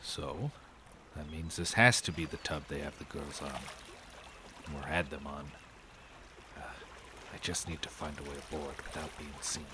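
An actor playing a gruff, protective amateur detective in a 1930s noir audio drama, speaking in terse, clipped lines. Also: male voice, very deep voice, moderate pace, pauses often, noisy background. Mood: guarded, serious. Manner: terse, clipped, hard-boiled.

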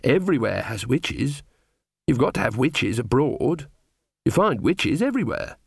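A man's voice: medium pitch, big pitch swings, a little slow, gravelly, slightly rough, no echo, studio quality, full character voice. A man voicing an earnest, gloomy, timid younger woman witch in a storybook reading, hesitant and plaintive.

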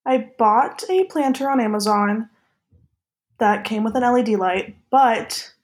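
Brief: young woman co-host, a bit high-pitched, halting and unsure delivery. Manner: hesitant, many "like"s and "um"s. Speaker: podcast co-host. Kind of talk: casual chat.

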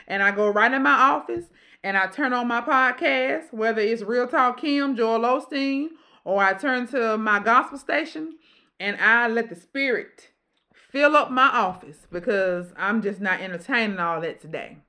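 A woman in her forties with a deep voice, hosting a casual podcast chat and preaching in an emphatic, repeating way. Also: unhurried, sharp tone. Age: 40s. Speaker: podcast host.

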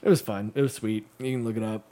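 A man's voice: lowish voice, steady tone, fast, dark tone. A man in his thirties co-hosting a podcast, chatty and enthusiastic as he brings up bits of trivia. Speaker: podcast co-host. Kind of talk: casual chat.

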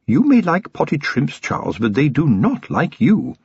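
Brief: frosty prim older lady, voiced by a man, clipped and raised in pitch. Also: wildly swooping pitch, unhurried, creaky, darkish tone, husky, dry recording, heavily acted. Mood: icy. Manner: cold, clipped, condescending. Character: prim, icy older woman, the colonel's stern wife. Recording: dry, slightly dull recording.

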